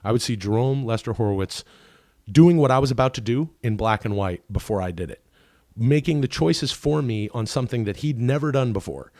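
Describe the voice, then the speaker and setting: Podcast guest, male, rough low-today voice with some fry, loose rambling delivery. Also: lively intonation, brisk, darkish tone, dry recording, clean studio sound. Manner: rambling, full of fillers and restarts. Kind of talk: casual conversation.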